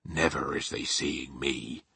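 A man voicing a huge, kindly, simple storybook giant who speaks earnestly in a slow drawl with homely, muddled grammar. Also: low-pitched, very animated, sharp tone, husky, full character voice. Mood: confident. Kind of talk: storybook character voice.

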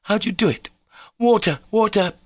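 A man reading an audiobook, voicing an imperious, excitable teenage girl who is bossy, urgent and exclamatory. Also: fairly high pitch, fairly quick, no room sound, clean studio sound, voice pushed up. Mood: frantic, imperious.

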